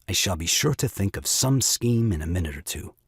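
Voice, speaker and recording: Indignant scheming young father, rhetorical, very animated, husky edge, audio drama farce. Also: sits low, a little fast, no echo, clean studio sound, exaggerated voice. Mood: scheming urgency. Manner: pompous, rhetorical, emphatic.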